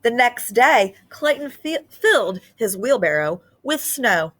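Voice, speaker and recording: Expressive woman teacher reading aloud. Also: on the high side, slow. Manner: expressive, sing-song storytelling. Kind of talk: storybook read-aloud for children.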